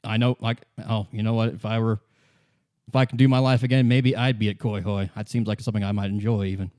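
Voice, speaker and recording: A man's voice: on the low side, flat delivery, quick pace, a little mellow, no room sound, studio quality, lightly acted. A man playing a cynical, world-weary woman who smokes, in casual, evasive improvised dialogue for a tabletop roleplay. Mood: wry, musing.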